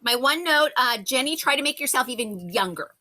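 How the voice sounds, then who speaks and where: Bright, encouraging woman directing a cast. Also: high voice, expressive, brisk, slight background noise. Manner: effusive, encouraging. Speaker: drama class instructor.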